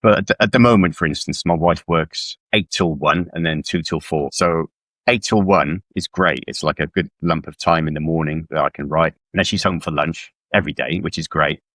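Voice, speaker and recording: A man's voice: a bass voice, moderate pace, a bit bright, dry recording. A middle-aged man from South Wales talking casually and informally as an interview guest, with a self-deprecating manner. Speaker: guest author. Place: indoors at home on a video call.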